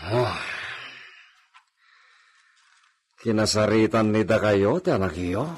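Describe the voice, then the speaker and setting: A man playing a self-important, wealthy hacienda master in an Ilocano comedy radio drama, speaking with an Ilocano accent in a measured, musing way. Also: on the low side, husky. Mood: courteous, guarded. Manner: measured, lordly, musing with hums.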